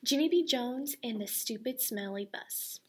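A young woman teacher reading a children's book aloud to her class, expressive and animated, recorded on a laptop webcam in a classroom. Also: a little high, unhurried, noisy background. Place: school classroom, indoors.

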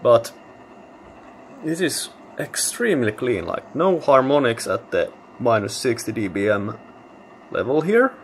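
A man talks through a technical demo at a workbench in a casual, matter-of-fact way. His delivery is slow and halting, as if thinking aloud, at an average male pitch. A light layer of noise sits behind him on the handheld recording. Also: big pitch swings. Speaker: electronics hobbyist presenter. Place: indoor electronics workbench.

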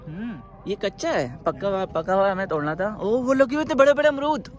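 A man's voice: high voice, lively intonation, fairly quick. A young man vlogging outdoors in an orchard, casual and enthusiastic, mixing in English words. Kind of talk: casual travel vlog.

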